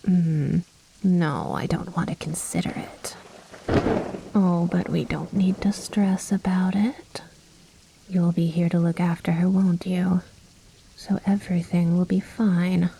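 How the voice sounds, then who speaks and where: Casting: a woman playing a vampire mistress for whispered ASMR drama; breathy, slow, silkily condescending, possessive. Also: lowish voice, exaggerated voice, poor audio. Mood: mock-sweet menace. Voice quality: soft, breathy, much of it whispered. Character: commanding, seductive female vampire noble, coaxing and possessive.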